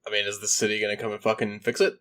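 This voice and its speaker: A man hosting a podcast, joking and swearing in relaxed banter, a little congested from allergies. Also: fairly low pitch, rapid-fire. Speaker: podcast host. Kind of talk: casual chat.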